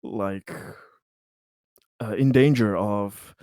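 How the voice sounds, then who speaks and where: A man playing a tabletop roleplaying game, talking casually in halting, tentative phrases as he describes his character's actions. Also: lowish voice, dark tone, no echo, studio quality.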